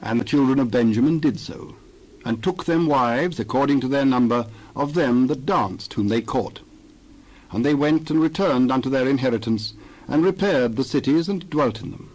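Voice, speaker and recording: A man narrating a dramatized Bible reading, measured and formal with archaic scripture phrasing. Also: medium pitch, darkish tone, poor audio.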